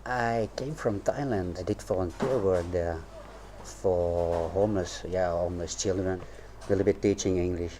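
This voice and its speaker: A man's voice: low voice, noisy background. A man from Belgium, speaking plainly and with a dry edge.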